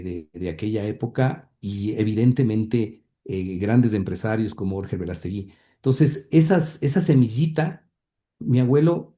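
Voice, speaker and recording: An Ecuadorian man reminiscing as an interview guest, halting and repeating words as he recalls. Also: mid-range voice, warm tone.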